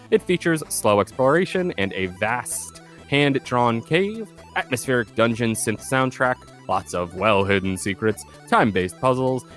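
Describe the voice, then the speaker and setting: A man hosting a game review podcast, his voice congested, scratchy and nasal from allergies, talking in a showy announcer style. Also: medium pitch. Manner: showy announcer patter, keeps saying "ladies and gentlemen". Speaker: podcast host.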